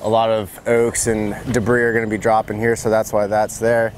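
A man in his thirties, a pond builder, casually walking through a backyard project outdoors, relaxed and full of fillers. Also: on the low side, fairly quick, slight background noise. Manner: relaxed, filler-heavy, enthusiastic. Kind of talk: casual on-site walkthrough. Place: outdoor backyard construction site.

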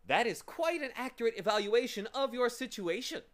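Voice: medium pitch, sing-song, takes it slowly, fairly bright tone, voice pushed up. A narrator voicing a small furry demon from a storybook, theatrical and insincere in manner. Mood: gleeful, cold. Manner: theatrical, boastful listing, turning to sobbing. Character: small furry demon of insincerity, boastful, gleeful, then whimpering.

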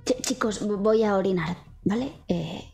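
A woman's voice: medium pitch, moderate pace, gravelly, mellow, soft background music. A woman with an accent from Spain, improvising in-character dialogue as a player in a tabletop game. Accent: Spanish from Spain.

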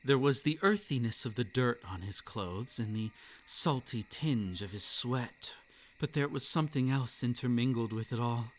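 A man narrating a scripted roleplay ASMR story in a soft, unhurried storytelling voice. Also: medium pitch, a little hiss or hum.

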